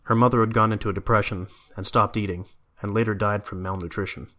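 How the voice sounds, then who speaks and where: A man's voice: lowish voice, fairly even intonation, quick pace, bright, forceful tone. A man reading scripted story narration as the narrator of an audio drama.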